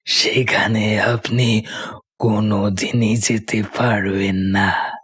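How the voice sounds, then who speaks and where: A man voicing a mysterious, cryptic gentleman in a horror cartoon, deliberate and ominous. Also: fairly low pitch, little pitch movement, slightly rough, full character voice.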